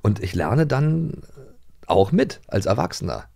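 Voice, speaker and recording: A middle-aged man co-hosting a podcast in casual chat, animated and fond of acting out voices when he tells stories. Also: fairly low pitch, unhurried, vocal fry. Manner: animated, acts out voices in anecdotes. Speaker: podcast co-host.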